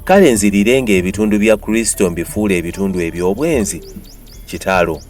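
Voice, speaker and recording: A man's voice: fairly low pitch, fairly quick, darkish tone, soft background music. A man with a Ugandan accent gives a dramatized, declamatory Bible reading with emphatic delivery. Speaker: Bible reader.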